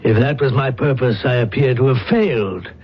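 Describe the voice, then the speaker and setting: An actor playing a cool, calculating controller of an alien planet in a radio drama, speaking in a measured, insinuating way. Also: male voice, mid-range voice, breathy edge, natural voice. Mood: cool, formal. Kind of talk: old-time radio drama acting. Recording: dull, muffled radio drama recording.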